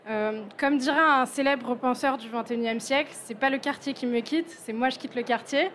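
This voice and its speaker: A woman's voice: high-pitched, normal speed, a bit bright, a little hiss or hum. A woman asking interview questions casually, with some hesitation and fillers.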